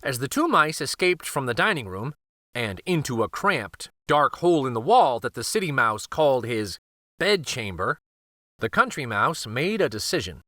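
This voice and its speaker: A man narrating a children's storybook aloud, expressive and careful, with dramatic pauses. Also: medium pitch, no room sound, clean studio sound.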